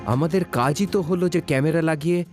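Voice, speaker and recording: A man voicing an eager adult wildlife photographer in a cartoon, giving quick orders, with loud music underneath. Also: fairly high pitch, lightly acted. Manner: urgent shouting of orders. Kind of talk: Bengali cartoon dubbing.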